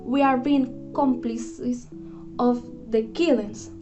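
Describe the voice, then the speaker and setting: A young woman presents a prepared book review, her voice sitting a little high as she works carefully and earnestly through her points, with a few restarts. The recording is dull and lo-fi, and clear guitar music plays underneath her. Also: steady tone, slow pace. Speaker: student presenter.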